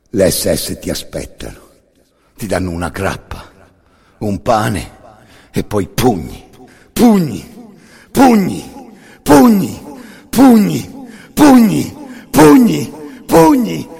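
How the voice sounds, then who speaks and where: A man with a gravelly voice performing an intense, incantatory scripted monologue in the second person, repeating phrases between long pauses. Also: a little high, a little mellow. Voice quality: gravelly, creaky. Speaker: actor and storyteller of a solo radio theatre monologue. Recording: music bed under the voice. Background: music.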